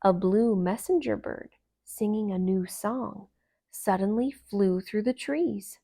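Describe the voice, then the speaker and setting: A woman reading a children's story aloud in a calm, expressive and gentle narration. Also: mid-range voice, slow, gravelly, slightly rough. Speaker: storybook reader.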